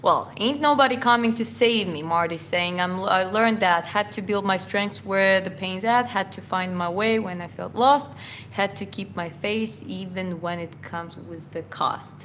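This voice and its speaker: A Georgian woman with an Eastern European accent, Georgian being her first language, chats through a viewer Q&A. Her voice sits at an ordinary middle pitch. She reads questions aloud and answers them with plenty of ums and restarts, with a faint background hiss. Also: unhurried, a bit bright. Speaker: livestream host answering chat questions. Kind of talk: casual Q&A chat.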